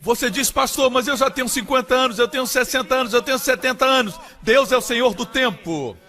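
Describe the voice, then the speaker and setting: A man's voice: very high-pitched, brisk, pushed and bright, soft background music. A man delivering a dubbed sermon voice-over, speaking as preacher with emphatic, rising exclamations.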